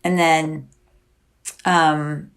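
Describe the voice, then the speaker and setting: A woman chatting openly as a guest, her voice sitting a little low for a woman. She talks in loose, restarting bursts packed with "like," playing out quoted exchanges and breaking into laughter often. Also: level tone, drawn-out words. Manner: chatty, heavy use of "like", acts out quoted exchanges. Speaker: podcast guest. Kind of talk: candid conversational interview.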